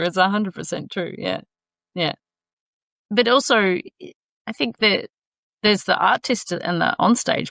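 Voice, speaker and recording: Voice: mid-range voice, vocal fry, a bit bright, no echo, studio quality. A podcast host asking questions in a friendly, conversational way. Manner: friendly, conversational, laughs along. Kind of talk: podcast interview.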